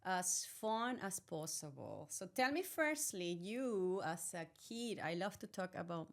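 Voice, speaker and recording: A woman's voice: lowish voice, very animated, a little slow. A woman hosting a wellbeing podcast, introducing her guest earnestly and asking long, heartfelt questions. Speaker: podcast host. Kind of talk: interview hosting.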